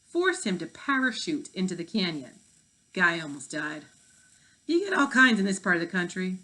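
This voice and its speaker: A woman's voice: medium pitch, pushed and bright, poor audio. A woman reading the part of a folksy, world-weary female police chief in a wry, plainspoken manner.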